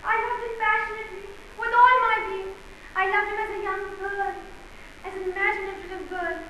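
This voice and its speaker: In a heavily echoing room, an actress plays a proud widow in a stage farce. Her voice is extremely high and bright, with melodramatic, indignant emphasis. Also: steady tone, fairly quick, slight background noise. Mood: wounded, proud. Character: proud, fiery, melodramatic young-spirited widow. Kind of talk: theatrical stage farce acting. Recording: very echoey room.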